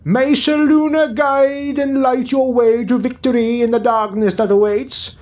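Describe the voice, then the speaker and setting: A man's voice: very high-pitched, level tone, a little slow, pitched above the performer's own voice. A man voicing a lovesick old townsman groaning about his gout, with a theatrical, fawning manner, in an audio drama. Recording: dull lo-fi recording.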